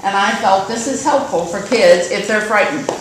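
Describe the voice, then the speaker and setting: A woman congregant explaining a calming technique in a halting way, correcting herself as she goes. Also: medium pitch, crowd noise. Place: church sanctuary. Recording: very echoey hall, voice from far off.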